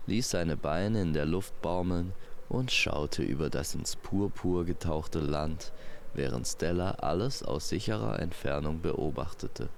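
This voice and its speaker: A man narrating a scripted fantasy tale in a measured, poetic manner. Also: low voice, creaky, slight background noise. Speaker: storyteller.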